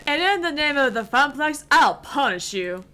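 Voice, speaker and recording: Casting: fiery young gamer heroine, played by a woman, bright forceful voice, highish, theatrical and righteous, visual novel game. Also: big pitch swings, moderate pace, exaggerated voice. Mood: grandiose. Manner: theatrical, indignant, foul-mouthed. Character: brash, fiery young female pro gamer, theatrical champion of justice.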